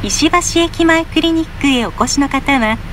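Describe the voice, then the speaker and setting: A woman's voice: sits high, measured pace, a bit bright. A woman reading scripted advertisements as a bus announcer, polite and formal. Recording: faint vehicle noise behind.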